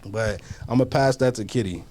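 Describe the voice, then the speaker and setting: A man's voice: medium pitch, moderate pace, darkish tone, a little hiss or hum. A man on a casual studio panel, speaking bluntly with heat, repeating himself and dropping into slang. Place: community media studio.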